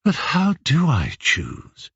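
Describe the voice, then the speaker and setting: A man's voice: medium pitch, sing-song, measured pace, husky, no echo, highs cut off, voice pushed up. A man voicing a gracious, weary queen in a storybook, speaking with formal, regal courtesy. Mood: anguished. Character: gracious, weary, dignified queen, a grown woman.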